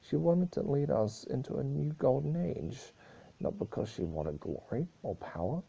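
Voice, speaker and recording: Man reading as a solemn pony princess, muffled and husky. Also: medium pitch, very animated, moderate pace, dark tone, pitched above the performer's own voice, exaggerated voice. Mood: solemn grief. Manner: solemn, accusing speechmaking. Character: grieving, furious female pony princess ruler.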